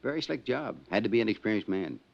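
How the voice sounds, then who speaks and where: A genial, talkative insurance man in an old radio drama, his lowish voice swinging up and down as he gets worked up. He talks in a folksy, excitable way. The sound is dull and muffled, like an old broadcast. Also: quick pace, creaky. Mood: chatty. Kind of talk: 1950s radio drama acting.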